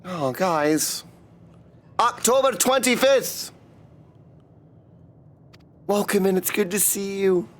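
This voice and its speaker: A man's voice: sits high, lively intonation, slow pace, long pauses. A man livestreaming a game, chatting casually and greeting viewers as they drop in.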